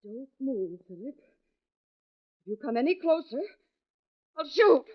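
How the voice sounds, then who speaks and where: An actress playing a frightened, suspicious wife who tells her own dark tale in 1940s radio mystery style, with anguished dramatic pauses. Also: sits high, very animated, heavily acted. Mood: defiant fear. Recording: dull old radio recording, music behind. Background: dramatic music.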